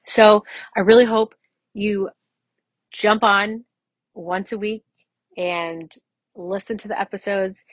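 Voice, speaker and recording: A woman's voice: mid-range voice, fairly even intonation, slow, pauses often, no echo. A woman in her mid-forties hosting a solo podcast, talking in a personal, conversational way and repeating phrases for emphasis. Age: mid-40s. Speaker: podcast host.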